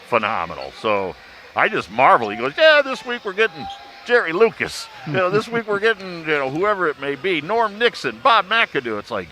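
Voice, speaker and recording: Male sportscaster, mid-pitched and bright, emphatic folksy talker, light background noise. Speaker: radio sports broadcaster on a panel. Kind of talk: casual sports broadcast chat.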